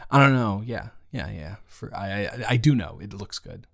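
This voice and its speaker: Flustered, halting male best friend, acted by a man. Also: on the low side, expressive, gravelly, fairly bright tone, voice pushed down, heavily acted. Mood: flustered, admiring. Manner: hesitant, halting, conversational, teasing. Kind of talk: improvised romantic audio roleplay.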